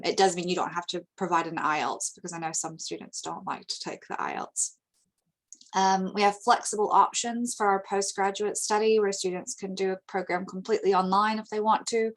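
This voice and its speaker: American woman presenting, lots of ums. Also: fairly low pitch. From United States. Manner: frequent ums, steady informative delivery. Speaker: international recruitment presenter. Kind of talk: webinar presentation over slides.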